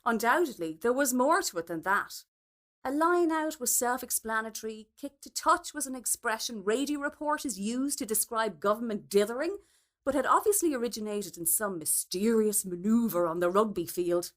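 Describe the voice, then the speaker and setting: Woman, short story narration, highish voice, very animated pitch, expressive reading. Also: normal speed. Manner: expressive, acts out dialogue.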